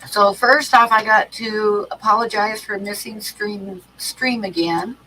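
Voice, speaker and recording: A woman's voice: medium pitch, steady tone, unhurried, sharp tone, a little hiss or hum, low-quality recording. A woman with a stuffy cold hosting an art livestream, chatting casually with many ums and restarts. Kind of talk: casual chat to live chat.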